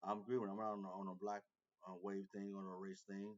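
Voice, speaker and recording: A man's voice: low voice, flat delivery, fast, darkish tone, muffled recording. A man hosting a reaction video, commenting on a clip with emphatic, repetitive casual talk.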